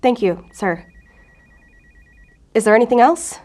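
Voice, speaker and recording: A woman's voice: a little high, animated, unhurried, darkish tone. An actress playing a defensive, earnest female federal agent in an audio drama, guarded in manner. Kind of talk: audio fiction drama acting.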